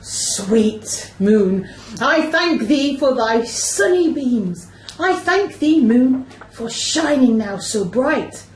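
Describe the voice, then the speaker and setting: Tragic Shakespearean lover-hero played by a woman, hammy and lovestruck, high swooping delivery. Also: lively intonation, a little hiss or hum, heavily acted. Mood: adoring. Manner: declamatory, drawn-out, overwrought verse delivery. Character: lovestruck melodramatic male amateur actor hamming a tragic hero. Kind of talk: amateur Shakespeare radio play acting. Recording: echoey room.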